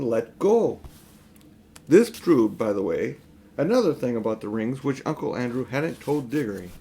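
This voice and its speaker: An older man narrating a children's storybook in an expressive, emphatic storytelling manner. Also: mid-range voice, a little hiss or hum. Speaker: audiobook reader.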